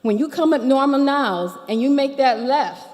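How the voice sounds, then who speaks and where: A woman's voice: high voice, expressive, moderate pace, darkish tone. American-sounding woman addressing an echoing hall. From Barbados. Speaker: resident speaking from the floor at a public consultation. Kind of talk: formal public presentation of a complaint. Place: large indoor meeting hall.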